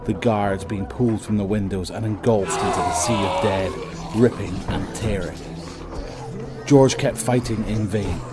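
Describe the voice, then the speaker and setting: Male narrator, lowish pitch, dramatic and suspenseful scripted horror storytelling, measured phrasing, music bed. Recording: voice over prominent music.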